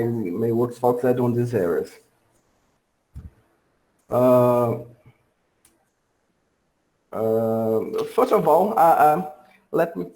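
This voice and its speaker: A man from Brazil with a Brazilian accent giving a workshop lecture over a video call, halting and full of fillers and restarts. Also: mid-range voice, a little mellow, poor audio. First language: Portuguese.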